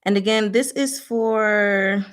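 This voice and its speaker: A woman's voice: medium pitch, slow. A woman hosting an informal book discussion, talking in a casual, blunt, slangy way and often interrupting herself to address her listeners. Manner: scattered, self-interrupting, talks to herself and audience. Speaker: host of a book discussion session. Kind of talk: informal live book discussion, recapping plot.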